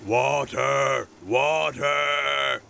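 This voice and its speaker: A man voicing a shouting, demanding male concrete mixer in a children's story. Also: mid-range voice, fairly even intonation, very slow, gravelly, background noise, exaggerated voice.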